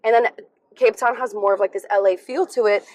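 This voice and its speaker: A woman's voice: medium pitch, steady tone, a little fast, darkish tone, rough recording. An American woman from Florida chatting as an interview guest, talking in a loose, laughing way with lots of "like". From Florida, USA. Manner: chatty, many "like" fillers, laughs easily. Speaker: guest influencer and dancer. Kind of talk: casual interview chat.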